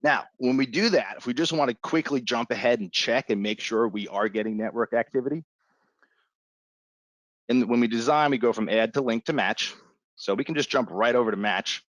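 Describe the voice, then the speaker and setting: A man instructing in an informal, step-by-step way, as in a live software walkthrough. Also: mid-range voice, moderate pace, no echo, rough recording.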